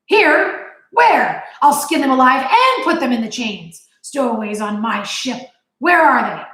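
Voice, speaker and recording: A woman voicing a blustering, quick-tempered sea captain who roars and growls in a loud voice. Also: high voice, sing-song, voice pushed up, heavily acted. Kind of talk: audiobook character voice. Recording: roomy echo.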